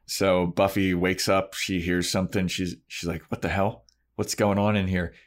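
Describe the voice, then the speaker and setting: Male podcast co-host, fairly low register, informal and joking, conversational recap style. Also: level tone, moderate pace. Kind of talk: casual chat, retelling a TV episode with acted-out quotes.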